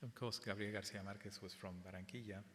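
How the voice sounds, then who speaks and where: A man curator in a public gallery conversation, talking in a relaxed, conversational way, often checking in with "right?". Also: fairly low pitch, quick pace. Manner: relaxed, conversational, tag questions. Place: gallery event space before an audience. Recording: echoey hall, faint hiss.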